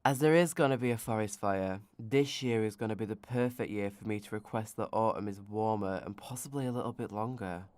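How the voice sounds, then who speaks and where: A man's voice: fairly low pitch, fairly quick, hardly any pauses, a little mellow, no echo, natural voice. A man acting a grumpy, sleepy autumn spirit with dry wit in a comedic radio drama. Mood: mild.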